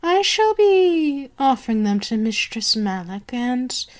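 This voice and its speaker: A woman voicing a haughty, commanding vampire queen in an ASMR roleplay, speaking with deliberate, condescending authority. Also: high voice, big pitch swings, heavily acted. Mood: coolly condescending. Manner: slow, imperious, deliberate, condescending. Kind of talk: ASMR roleplay monologue to the listener.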